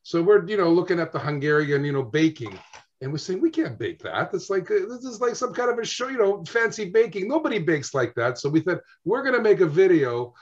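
Man, guest storyteller, animated, acts out dialogue, slightly high voice, dull lo-fi audio. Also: fairly quick. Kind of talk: casual storytelling chat.

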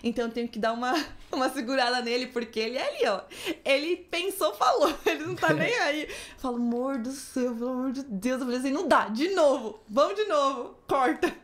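A woman from Brazil, a podcast guest chatting casually and telling stories with quick asides and quoted voices. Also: high voice, expressive. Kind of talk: casual chat.

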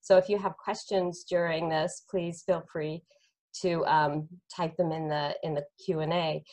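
A woman's voice: sits low, fairly even intonation, unhurried, darkish tone. A woman hosting an informal online discussion, explaining things in a conversational way like a counselor and lecturer. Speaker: interview moderator, counselor and lecturer. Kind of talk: informal online panel introduction.

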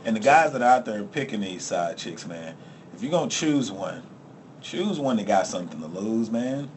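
A man's voice: lowish voice, sing-song, moderate pace, a little mellow, a little hiss or hum. A man hosting a casual talk show, talking loosely and animatedly with his co-hosts. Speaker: podcast host. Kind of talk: casual chat.

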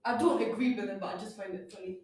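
A woman's voice: mid-range voice, quick pace, darkish tone. Young woman, distant and echoey. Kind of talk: casual group chat. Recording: distant, echoey room with faint noise.

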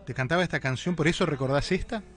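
A man's voice: a little high, fast. A man, interviewing and prompting with short affirmations.

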